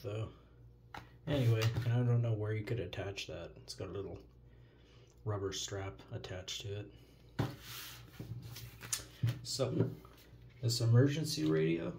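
A man's voice: fairly low pitch, vocal fry, darkish tone. An American man giving a casual, offhand product demonstration as a reviewer, recorded indoors at a countertop. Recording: noticeable noise, handling clicks.

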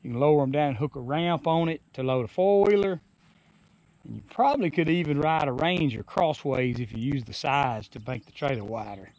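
A man's voice: mid-range voice, a little fast, darkish tone, no room sound, poor audio. A man in his forties with a Southern US accent, casually showing off a rebuilt trailer outdoors on a farm, rambling and restarting his sentences as he goes, recorded on a handheld action camera. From Alabama. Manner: folksy, rambling, stops and restarts mid-sentence. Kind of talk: casual walkaround demonstration. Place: outdoors on a rural farm lawn. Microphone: action camera held or worn, sometimes set down.

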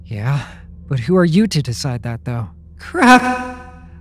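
A man's voice: mid-range voice, very animated, slow pace, fairly bright tone, echoey room, long reverb tail, a little hiss or hum. A man voicing a wary, anxious teenage schoolboy investigator in a comic dub. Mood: uneasy, defiant. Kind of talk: dramatic comic dub acting with inner monologue.

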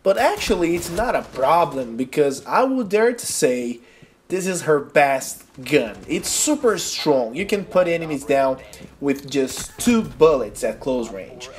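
A man's voice: a little high, very animated, measured pace, slight background noise. A man from Brazil with a Brazilian accent and Portuguese as his first language narrates a game guide in casual, enthusiastic, non-native phrasing. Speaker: video game guide creator. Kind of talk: casual tutorial narration over gameplay.

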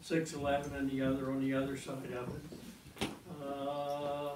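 An older man on a panel, reminiscing in a plain-spoken, halting way. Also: mid-range voice, completely flat delivery. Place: community meeting room. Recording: echoey room, distant mic, background noise.

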